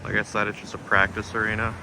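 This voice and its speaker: A man talking casually to camera for a vlog in a low-key, plain-spoken way. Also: completely flat delivery, fast, background noise. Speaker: vlogger, pro hockey goaltender.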